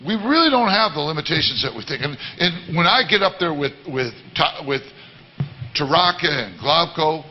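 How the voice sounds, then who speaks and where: Man, baritone, earnest, repeats himself, church talk, muffled recording. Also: lively intonation, moderate pace. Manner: earnest, repetitive, many restarts. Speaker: worship leader. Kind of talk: sermon-style teaching talk. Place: church service.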